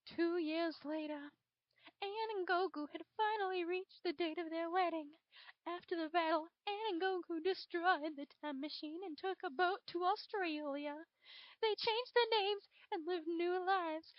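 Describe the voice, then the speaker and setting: A very high-pitched Southern belle dragon performed by a woman tells a story with theatrical, drawn-out emphasis. The recording is dry and somewhat dull, with a homemade lo-fi finish. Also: no room sound. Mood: dramatic storytelling. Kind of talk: dramatic fan fiction reading.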